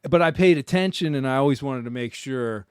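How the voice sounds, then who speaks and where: Relaxed rambling podcast host man. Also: medium pitch, brisk, clean tone, no room sound, studio quality. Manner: relaxed, rambling, lots of fillers. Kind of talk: casual conversation. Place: aboard a sailboat.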